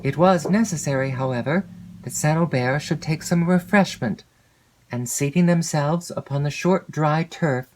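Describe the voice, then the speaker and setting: Woman narrating a classic novel, measured delivery. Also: deep voice, darkish tone, poor audio. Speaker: audiobook narrator.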